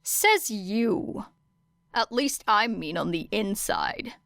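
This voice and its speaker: Woman as a slow, sardonic teenage girl. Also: medium pitch, animated, vocal fry, fairly bright tone, no echo, heavily acted. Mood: sardonic, teasing. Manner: dry, blunt.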